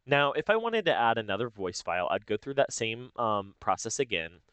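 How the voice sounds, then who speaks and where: A man narrating a casual step-by-step software tutorial, explaining informally and thinking aloud as he goes. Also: mid-range voice, sing-song, fairly quick, clean tone, no echo. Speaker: tutorial host.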